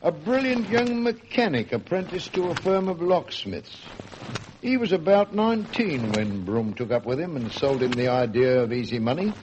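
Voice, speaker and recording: A man playing an older, avuncular British police sergeant in a vintage radio drama, with a gruff, colloquial storytelling manner. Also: a little high, expressive, normal speed, muffled recording, lightly acted. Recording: noisy old radio recording.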